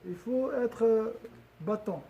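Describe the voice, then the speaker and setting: High-voiced man teaching a religious lesson. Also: takes it slowly, vocal fry, highs cut off, a little hiss or hum. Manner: questions the audience often, repeats and restarts phrases.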